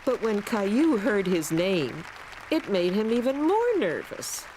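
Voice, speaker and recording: A woman narrating a children's cartoon as a gentle storyteller, with music behind her. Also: mid-range voice, sing-song, a little slow, a crowd in the background, noisy background. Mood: storytelling. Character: gentle female storyteller narrating a small boy's day.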